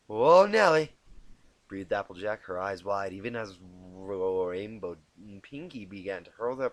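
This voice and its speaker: Male amateur narrator, self-deprecating and stumbling, lively pitch, dry close sound. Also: no room sound. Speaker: amateur fan fiction reader. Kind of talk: informal storybook narration with asides. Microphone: cheap home microphone.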